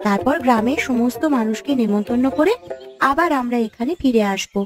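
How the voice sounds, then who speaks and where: A woman's voice: on the high side, brisk, close to an everyday voice. A woman voicing an earnest, hopeful and polite young village girl in a cartoon. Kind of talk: cartoon voice acting. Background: instrumental music.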